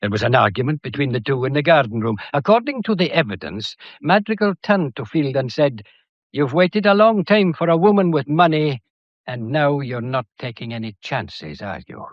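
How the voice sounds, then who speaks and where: A man acts out a methodical police inspector from a radio mystery. His pitch swoops widely as he lays out points with pedantic, wry courtesy and deliberate timing. The sound is dry and rather dull. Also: sing-song, no room sound, close to an everyday voice. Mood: probing, satisfied. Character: methodical, theatrical, politely probing male police inspector. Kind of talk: detective radio drama acting.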